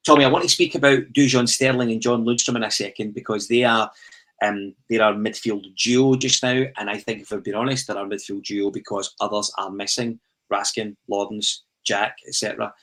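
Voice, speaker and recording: A man's voice: lowish voice, fairly even intonation, a little fast, fairly bright tone. A Scottish man hosting a football podcast, steering the talk and reading out listener comments with frequent "um"s. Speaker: podcast host. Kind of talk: casual football chat.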